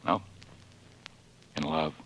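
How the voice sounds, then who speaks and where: An actor playing a suave upper-class young American suitor, romantic and gently teasing, in 1940s radio drama style. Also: male voice, very slow. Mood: tender, romantic. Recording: muffled vintage radio recording with music.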